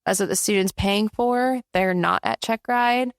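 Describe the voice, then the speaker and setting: A woman co-hosting a podcast in casual chat, an outspoken flight instructor who talks with animated emphasis. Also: mid-range voice, unhurried, smooth, no room sound, clean studio sound.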